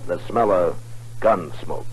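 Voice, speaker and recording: A man's voice: low-pitched, slow. An actor playing a stern, weary, laconic male U.S. marshal in a 1950s radio western. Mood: grim. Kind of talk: 1950s radio drama acting. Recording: noisy old radio recording.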